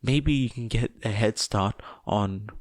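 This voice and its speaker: A man's voice: on the low side, a little slow, dry recording, studio quality, natural voice. A man voicing a frank, sceptical teenage girl in a story, casual and matter-of-fact. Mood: uncertain.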